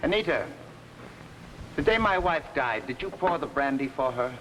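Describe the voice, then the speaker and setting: An actor playing a proud, easily affronted concert conductor in an early 1950s TV mystery, formal and imperious. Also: male voice, fairly high pitch, expressive, normal speed, a bit bright. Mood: tense. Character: proud, indignant male concert conductor, recently widowed. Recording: noisy 1950s TV soundtrack.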